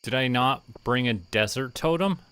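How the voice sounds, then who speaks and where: A man doing relaxed, casual game commentary, thinking aloud and muttering to himself in an unhurried way. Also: mid-range voice, faint music behind. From Canada. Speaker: game streamer.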